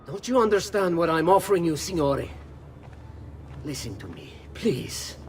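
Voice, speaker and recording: A man's voice: fairly high pitch, sing-song, normal speed, slight background noise. An actor playing an oily, wheedling nobleman conspirator in a video game. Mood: insistent, veiled threat. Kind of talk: dramatic video game acting.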